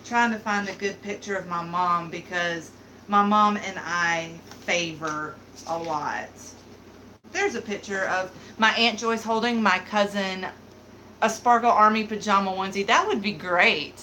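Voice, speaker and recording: A woman's voice: medium pitch, measured pace, pushed and bright, a little hiss or hum, poor audio. A woman in her thirties with a light Southern accent, chatting casually and rambling with lots of fillers as she hosts a live beauty stream. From American South. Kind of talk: casual live-stream chat reading comments.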